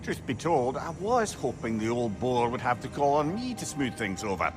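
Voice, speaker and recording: A man's voice: medium pitch, very animated, moderate pace, lightly acted. A man playing a witty, tender old sage in a video game, with a Scottish accent and courtly, old-fashioned, talkative phrasing. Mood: chatty, courtly. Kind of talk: video game cinematic acting. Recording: game music behind the voice.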